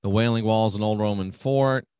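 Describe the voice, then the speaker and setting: A man's voice: fairly low pitch, a little fast, a little mellow, clear, resonant tone, no room sound, studio quality. A man hosting his own radio show, chatting casually and reading out listener comments in a folksy, agreeable way. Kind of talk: casual talk reading out live chat comments.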